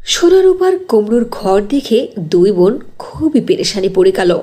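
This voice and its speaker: A woman's voice: medium pitch, sing-song, moderate pace, dark tone. A woman narrator reading a scripted fairy tale in a storytelling style.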